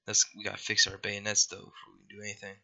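Scratchy-voiced young man with a bright edge. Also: fairly low pitch, completely flat delivery, racing, creaky. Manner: rambling, apologetic, lots of fillers. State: scratchy voice after being sick. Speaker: gaming youtuber. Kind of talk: casual gameplay commentary.

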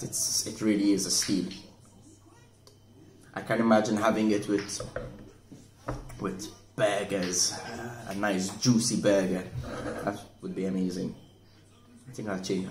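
Man reviewing wine in an echoey room. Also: low-pitched. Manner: relaxed, thoughtful, with many repeated words and restarts. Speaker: wine shop manager reviewing wine. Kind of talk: casual wine tasting review. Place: indoor room at a table. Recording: echoey room with faint noise.

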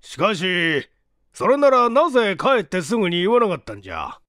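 A man voicing a gruff, forgetful old male professor with blustering, old-fashioned speech in an audiobook mystery. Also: sits high, huge pitch swings, normal speed, a bit bright, no room sound, studio quality, heavily acted. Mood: gruff, astonished.

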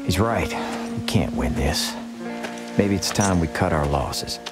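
An actor playing a courteous, laid-back cowboy gunslinger in a western video game, plainspoken and gruff. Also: male voice, low-pitched, lively intonation, a little slow, over loud music. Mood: somber. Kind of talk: dramatic video game acting.

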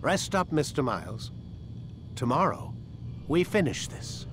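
Condescending, cold older scientist. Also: male voice, fairly high pitch, very animated, a little slow, background noise. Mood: smug. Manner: condescending, sardonic. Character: cold, arrogant male scientist holding a prisoner. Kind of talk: dramatic video game acting.

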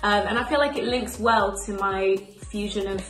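A woman's voice: medium pitch, fairly bright tone, echoey room, poor audio. A young woman who grew up in Spain, a singer talking to camera about her music in an upbeat, chatty way. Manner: upbeat, chatty, lists things quickly. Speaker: emerging singer interviewed. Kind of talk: casual to-camera answers. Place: small bright room indoors.

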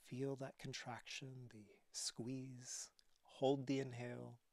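Slow, hushed man guiding meditation. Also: medium pitch, creaky, dry recording. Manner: calm, soothing instruction. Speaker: yoga meditation teacher. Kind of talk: guided relaxation meditation.

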